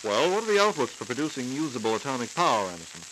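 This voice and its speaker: A man's voice: medium pitch, very animated, very fast, a bit bright, low-quality recording. An American man hosting a scripted 1940s radio interview, prompting in a curious, folksy way, on a hissy old transcription disc. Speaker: radio host asking questions. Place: radio studio.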